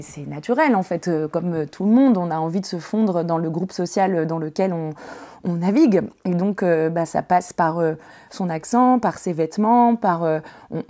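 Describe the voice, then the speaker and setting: A woman's voice: on the low side, normal speed, dark tone. A woman from northern France in her thirties, chatting as a podcast guest, hesitant, with many fillers and restarts. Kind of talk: casual interview chat.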